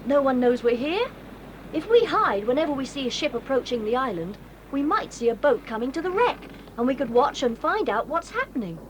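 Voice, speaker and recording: Woman playing a bossy earnest schoolboy leader, high and bouncy, loud tape hiss. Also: expressive, a little fast. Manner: earnest, bossy, takes charge. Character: sensible boy, eldest leader of children. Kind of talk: 1980s audio drama acting. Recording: hissy old cassette tape.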